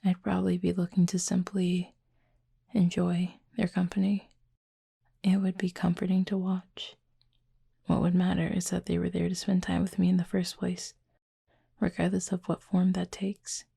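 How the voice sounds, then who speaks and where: A woman playing a reserved, gently teasing cupid roommate in an intimate audio roleplay, speaking softly and breathily in a dry, measured way. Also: lowish voice, monotone, gravelly, slightly rough, heavily acted. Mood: reserved, thoughtful. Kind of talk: intimate roleplay audio drama, addressing the listener.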